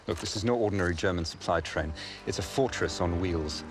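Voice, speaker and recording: Man, very deep voice, dry measured delivery, music behind. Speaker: special operations agent. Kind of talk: game cutscene acting.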